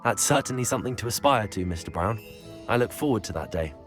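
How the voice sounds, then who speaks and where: An actor playing a polite, keen teenage magic student in a video game, earnest and respectful in delivery. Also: male voice, fairly low pitch, animated, quick pace, faint music behind, natural voice. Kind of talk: video game character acting.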